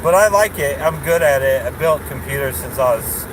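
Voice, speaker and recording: A man's voice: medium pitch, animated, fairly quick. A man in his late thirties rambling in a casual vlog from a parked car at night, full of fillers and restarts, chuckling now and then. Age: 30s. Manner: meandering, many fillers and restarts, chuckles often. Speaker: vlogger. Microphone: phone camera on dashboard. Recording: noisy car interior.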